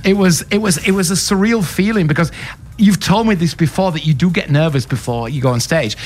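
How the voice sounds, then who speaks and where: High-voiced German-accented radio host. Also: male voice, a little fast, darkish tone. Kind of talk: live radio interview. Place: radio studio at a festival.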